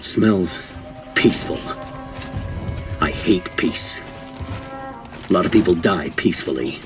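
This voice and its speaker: Game villain: menacing brute, very deep, slow, terse and brooding. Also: male voice. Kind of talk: video game dramatic voice acting. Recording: distant voice under music.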